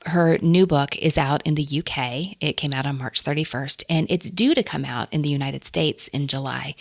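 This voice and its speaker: A woman's voice: sits low, creaky, no room sound, clean studio sound. A woman hosting a book podcast, talking casually and enthusiastically about books and laughing often. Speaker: podcast host.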